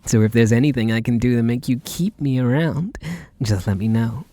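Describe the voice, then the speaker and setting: A man's voice: mid-range voice, normal speed, warm tone, dry recording, close to an everyday voice. A man playing an eager, submissive, lovestruck butler who gushes earnestly and pleadingly in an intimate ASMR roleplay. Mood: devoted, pleading.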